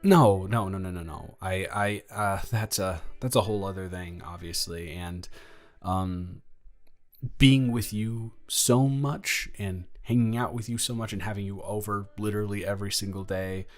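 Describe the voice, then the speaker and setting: A man playing an awkward, affectionate high school boy in a romantic audio roleplay, speaking in a rambling, stammering way. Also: steady tone, faint music behind, close to an everyday voice. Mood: flustered, affectionate. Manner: halting, rambling, stammering, self-deprecating.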